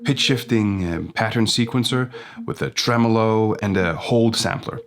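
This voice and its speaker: A man's voice: fairly low pitch, normal speed, over a noisy crowd. A man narrating a casual effects pedal demo as its host. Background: electronic music and instrument sounds.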